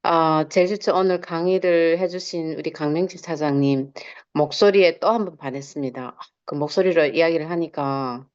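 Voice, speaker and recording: A woman with a very low, smooth, resonant voice, heard over dull online meeting audio. She speaks effusively and informally to a group, repeating words for emphasis. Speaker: business owner sharing product praise.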